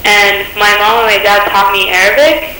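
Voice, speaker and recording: A woman's voice: medium pitch, normal speed, pushed and bright. A young American woman calling in to a TV show. She talks casually and hesitantly, with lots of "like" fillers. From California, USA. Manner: casual, hesitant, heavy use of "like". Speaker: caller. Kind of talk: casual phone call. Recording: echoey, with faint background noise.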